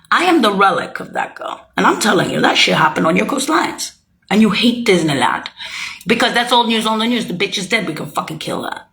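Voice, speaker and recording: A woman's voice: medium pitch, creaky, fairly bright tone. A woman speaking a rambling, heated monologue, swearing and accusing as she jumps from thought to thought. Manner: loose, jumping from thought to thought.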